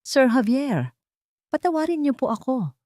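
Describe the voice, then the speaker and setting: A woman's voice: sits high, very animated, a little slow, darkish tone, no echo, clean studio sound, voice pushed up. A woman voicing a frightened, guilt-ridden socialite in an audio drama, with a trembling, pleading delivery. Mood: tearful.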